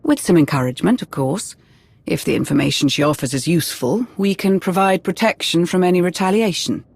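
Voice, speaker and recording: An actress playing a cool, calculating imperial intelligence officer in a video game cutscene, speaking in a formal, precise way. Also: female voice, fairly low pitch, brisk, creaky, darkish tone, breathy edge. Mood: composed briefing.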